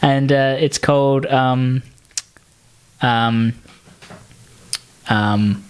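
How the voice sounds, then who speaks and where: A man co-hosting a podcast, chatting casually and pitching movie ideas in a halting, hesitant way with pauses. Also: steady tone, clear, resonant tone. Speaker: podcast co-host.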